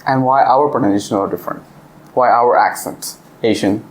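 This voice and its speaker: A man's voice: medium pitch, moderate pace, a little hiss or hum, rough recording. Man quizzing an English class. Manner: asks the class questions. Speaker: visiting English teacher. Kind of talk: classroom discussion.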